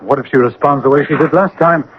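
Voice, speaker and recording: An actor playing a timid, frightened gentleman in a classic radio drama, husky and nervous. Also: medium pitch, fast talker, crowd noise, natural voice. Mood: nervous, fearful. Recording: dull old radio broadcast.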